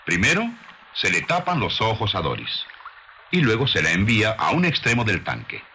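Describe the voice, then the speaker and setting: A man narrating a scripted science documentary in a measured, formal, didactic manner on an old soundtrack. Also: on the low side, sing-song, sharp tone, slight background noise.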